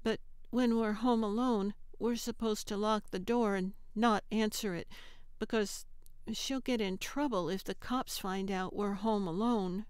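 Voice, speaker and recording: Lady narrator doing a little girl, earnest and guarded, higher voice, super clean. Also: fairly even intonation, unhurried, darkish tone, clean tone, no room sound, close to an everyday voice. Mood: guarded, explaining. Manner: hesitant, earnest. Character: earnest, guarded eight-year-old girl. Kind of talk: audiobook character acting.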